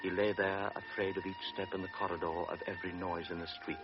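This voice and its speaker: A man narrating a radio drama in a grave, measured way. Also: low voice, flat delivery, over loud music. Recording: dull old radio recording with hiss.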